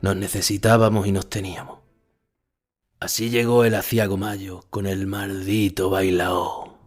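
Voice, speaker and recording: An ageing bullfighter from Spain in an audio drama, speaking in a fairly low voice with a Spanish accent. He tells his memories in literary first person, measured and solemn, with intimate melancholy. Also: level tone, breathy edge, faint music behind. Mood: grave.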